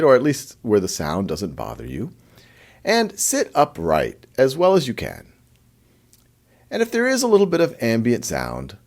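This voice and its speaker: A man leads a guided meditation as if chatting one to one. His delivery is gentle and unhurried, with a mid-range pitch that moves up and down a great deal.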